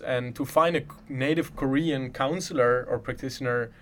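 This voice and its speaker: A man from the Netherlands, a native Dutch speaker, talking casually in English and rambling with frequent restarts. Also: mid-range voice. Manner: rambling, many restarts and "in a sense". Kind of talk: casual conversation.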